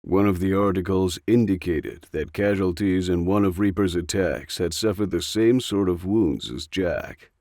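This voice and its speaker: Male audiobook reader for scripted fiction narration, lowish gravelly voice, very dry recording. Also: moderate pace, few pauses, darkish tone, no echo.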